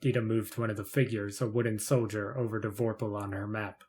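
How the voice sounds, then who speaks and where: A man's voice: fairly low pitch, flat delivery, fairly quick, darkish tone. A man reading scripted narration for a fantasy short story in the third person.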